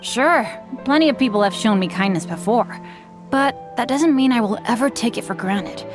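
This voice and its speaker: A woman's voice: fairly high pitch, animated, normal speed, lightly acted. A woman voicing an earnest, upbeat teenage boy adventurer in a video game, sincere and hopeful. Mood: earnest gratitude. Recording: game music under voice.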